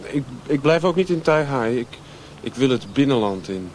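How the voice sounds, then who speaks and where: An actor playing a restless, rundown drifter in a Dutch radio drama, speaking earnestly and haltingly, sometimes repeating words. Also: male voice, medium pitch, lively intonation, darkish tone, close to an everyday voice. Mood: determined. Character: restless, rundown male drifter seeking open country. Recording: noisy old radio play recording.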